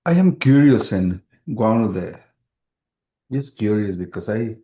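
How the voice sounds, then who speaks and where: A man's voice: fairly low pitch, expressive, a little slow, mellow, lo-fi sound. A man, a pastor and chaplain in a peer group, who searches for his words and rephrases as he speaks. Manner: searching, repeats and rephrases as he goes. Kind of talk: group discussion.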